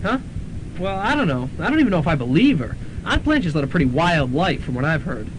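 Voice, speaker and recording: Guy doing a jumpy college kid, high lively voice, talks fast, hissy old tape. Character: Lance, young male college student, earnest. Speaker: Lance. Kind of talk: 1990s student radio drama acting. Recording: noisy old radio tape.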